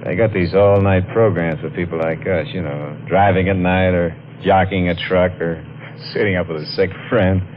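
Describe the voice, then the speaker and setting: A man's voice: low-pitched, fairly even intonation, moderate pace, few pauses, a little hiss or hum, close to an everyday voice. An actor playing an edgy working-class man in his twenties in a 1950s noir radio drama, colloquial and plain-spoken. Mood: casual, uneasy. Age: 20s. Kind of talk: 1950s radio drama acting, first-person noir narration. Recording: muffled old radio recording, music behind.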